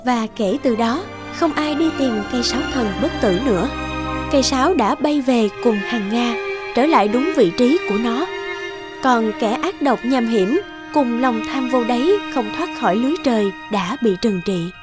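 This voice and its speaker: A woman's voice: on the high side, normal speed, music in the background, lightly acted. A woman narrating a cartoon fairy tale as a storyteller, reading scripted narration. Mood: solemn.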